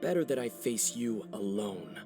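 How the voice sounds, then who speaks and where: A man voicing a composed, calculating villain in a video game, formal and deliberate. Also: on the low side, expressive, over music. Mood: grave.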